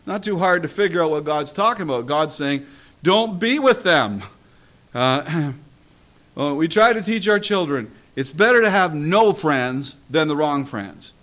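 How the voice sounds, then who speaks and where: A man preaching a sermon and telling his own story, earnest and emphatic, often clearing his throat and pausing on "uh". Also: a little high, expressive, a bit bright. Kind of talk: sermon with personal testimony.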